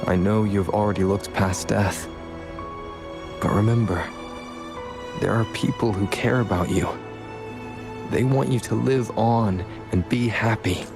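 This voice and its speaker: Low-voiced, calm young wanderer. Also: male voice, measured pace, vocal fry, long pauses, over music. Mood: gentle, reassuring. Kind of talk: video game voice acting.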